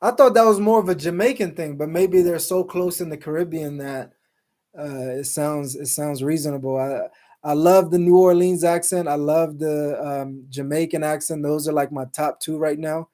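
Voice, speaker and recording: A man with a fairly high but mellow, warm voice hosts a casual live stream. He rambles easily through his points, filling pauses with ums and uhs and restarting sentences. He drops Islamic phrases in between as he reads viewer comments aloud. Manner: relaxed, rambling with many fillers and restarts. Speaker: show host. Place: Salt Lake City, Utah.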